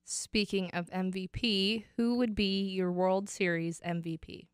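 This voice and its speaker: A woman's voice: mid-range voice, fairly even intonation, clean tone, no room sound, clean studio sound. Sick American woman co-hosting sports talk. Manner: chatty, quick, asks the quiz questions. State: sick, losing her voice. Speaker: co-host. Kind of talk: casual sports talk podcast.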